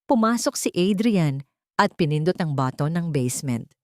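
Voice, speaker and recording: A woman reading a romantic drama story as narrator, in a dramatic, expressive scripted style. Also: sits low, moderate pace, dry recording, studio quality.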